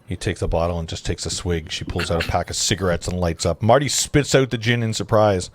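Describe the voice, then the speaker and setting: Lowish-voiced man casually reading stage directions. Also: a little fast. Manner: casual, stumbling over the text. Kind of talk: informal script table read with asides.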